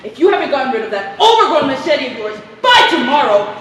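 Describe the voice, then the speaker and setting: A woman's voice: high-pitched, sing-song, fast, fairly bright tone. A woman acting a hot-tempered, brash, scolding character in an amateur stage play, recorded from a distance in a hall. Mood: furious. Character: hot-tempered, swearing woman raging at her housemates. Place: theater stage, recorded from afar. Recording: echoey hall, distant mic, faint noise.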